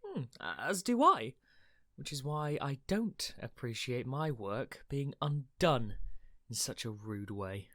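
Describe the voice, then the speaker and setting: A woman's voice: a bass voice, big pitch swings, slow pace, a bit bright, full character voice. A woman in a radio play playing an earnest, emotive, idealistic conservationist. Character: Alex, earnest, idealistic conservationist, friendly but distressed by destruction.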